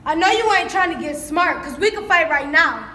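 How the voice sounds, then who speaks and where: A woman's voice: very high voice, expressive, fairly quick, bright, forceful tone, exaggerated voice. A woman acting a brash, aggressive teenage sidekick in a stage play, mouthy and slangy. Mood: threatening. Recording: echoey stage.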